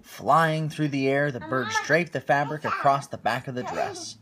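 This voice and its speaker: A man reading a picture-book story aloud to a young child on a phone livestream, gently stopping to chat with the child. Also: medium pitch, normal speed, crowd noise. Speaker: storytime reader.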